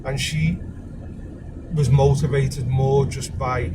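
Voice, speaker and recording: A man's voice: medium pitch, level tone, slow pace. A man hosting a casual interview while driving, easygoing, often ending questions with "yeah?". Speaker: taxi driver and podcast host. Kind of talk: casual interview in a moving car. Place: inside a car while driving. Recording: noisy car interior.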